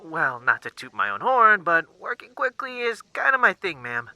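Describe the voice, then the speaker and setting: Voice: medium pitch, very animated, normal speed, bright, forceful tone, full character voice. A performer playing a nervous, polite lab technician on a phone call in an audio drama, hesitant and apologetic. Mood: eager, deferential. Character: nervous, eager, deferential young lab technician.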